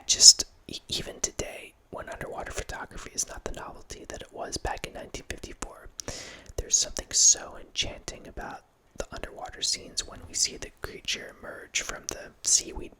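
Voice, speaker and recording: A man's voice: sharp tone, low-quality recording. A man in his thirties, an ASMR host, whispering in a chatty, rambling way close to a studio mic in a room at home. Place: home room with bookshelves, indoors. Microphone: large studio mic close up, wearing headphones.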